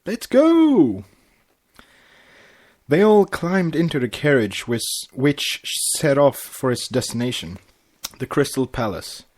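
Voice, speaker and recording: A man's voice: mid-range voice, very animated, unhurried. A man reads a story aloud as a podcast narrator, in the style of storybook narration. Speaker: podcast host reading fan fiction aloud. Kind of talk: storybook narration with acted dialogue.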